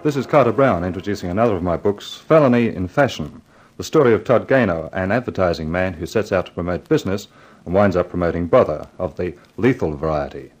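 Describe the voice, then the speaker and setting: An actor playing an urbane, wry mystery novelist who hosts a scripted 1950s radio drama. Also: male voice, sits low, expressive, fast. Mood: wry, genial. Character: suave male mystery novelist introducing his own story. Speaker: host.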